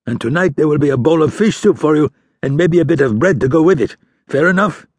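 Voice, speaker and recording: A man voicing a gruff, bossy older fisherman with a put-on who barks orders. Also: a little high, lively intonation, brisk, darkish tone, no echo, pitched above the performer's own voice. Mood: commanding. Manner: barking orders, scolding.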